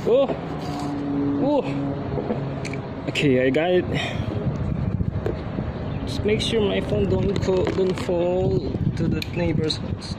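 A man's voice: sits high, takes it slowly, long pauses. A man vlogging casually, muttering to himself in short bursts and exclamations as he does a task. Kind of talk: casual self-narration during a task. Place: outdoors on a tiled home balcony. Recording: clear ambient outdoor noise, passing vehicles.

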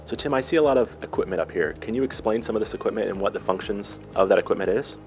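A man in his thirties hosting a local TV segment with an upbeat, brisk presenter delivery. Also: fairly low pitch, darkish tone, soft background music. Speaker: TV host. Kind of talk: local TV host interview. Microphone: handheld mic.

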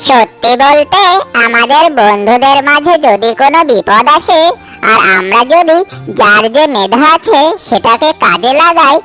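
Cartoon duck, voiced by a woman: high, bright, earnest and friendly, a loyal timid pal, faint music behind. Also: animated, a little slow. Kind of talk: children's cartoon dialogue.

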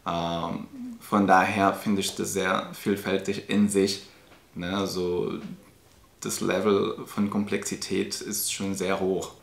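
A man in his thirties, an educator, giving a measured interview answer with fillers in a classroom. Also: low voice, little pitch movement, vocal fry. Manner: thoughtful, measured, with many fillers. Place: classroom indoors. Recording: echoey room.

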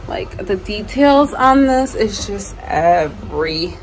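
A woman's voice: medium pitch, expressive, takes it slowly, slight background noise, poor audio. A woman in her thirties chatting casually and tiredly to camera in a bedroom vlog, with lots of ums and likes. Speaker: vlogger. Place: bedroom at home.

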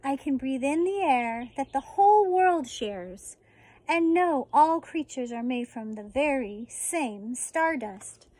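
High-pitched American woman reading to children. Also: lively intonation, takes it slowly, darkish tone, dry recording. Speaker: elementary school teacher reading a story. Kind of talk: children's picture book read-aloud. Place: outdoors on a residential deck.

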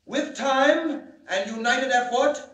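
Heavily echoing old radio recording, heard from a distance. an urgent scientist prophesying catastrophe, his voice strikingly high. He declaims gravely in a 1940s radio drama manner. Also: slow pace, fairly bright tone, dull audio, full character voice. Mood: earnest. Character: impassioned scientist warning his council of planetary doom.

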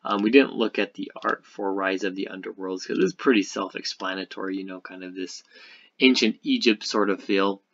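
A man giving casual, unscripted gaming commentary as a video host, speaking haltingly as he thinks aloud. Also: sits low, gravelly, fairly bright tone, highs cut off.

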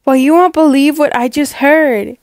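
Brief: woman acting as peppy, encouraging mom in animated roleplay, very sweet coaxing, high voice, dry sound. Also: moderate pace, no room sound. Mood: coaxing, excited. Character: peppy, encouraging, theater-loving mother.

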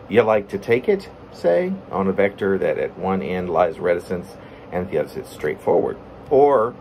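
A middle-aged man giving an informal reading of his own poem, halting and breaking into his own lines with asides. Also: low-pitched. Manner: halting, self-interrupting delivery. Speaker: poet reading own work. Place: plain room at home, indoors. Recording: steady room hum, air conditioning.

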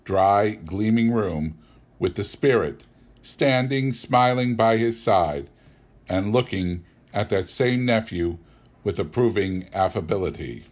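A man reading a classic story aloud as an audiobook narrator, in an expressive, theatrical storytelling manner. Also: fairly low pitch, slow pace. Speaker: audiobook reader.

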